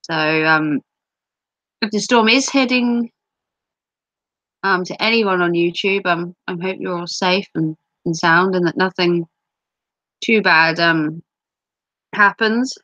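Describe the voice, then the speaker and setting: A woman from the UK with a cold, wheezy and clearing her throat, chatting casually and rambling on a livestream with self-mocking jokes. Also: on the low side, slow, pauses often, pushed and bright, no echo. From United Kingdom. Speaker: livestream host. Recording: dull lo-fi sound.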